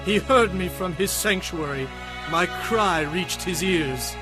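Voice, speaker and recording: A man's voice: high-pitched, slow. An actor playing a devout warrior king, reciting praise to God solemnly and fervently in a dramatized scripture reading. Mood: anguished pleading. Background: music.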